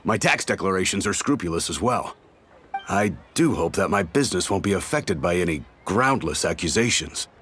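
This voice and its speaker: An actor playing a flustered, defensive merchant in a video game, stammering and indignant. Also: male voice, deep voice, lively intonation, normal speed, a bit bright, a little hiss or hum. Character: flustered, defensive male merchant insisting he is honest. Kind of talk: animated video game voice acting.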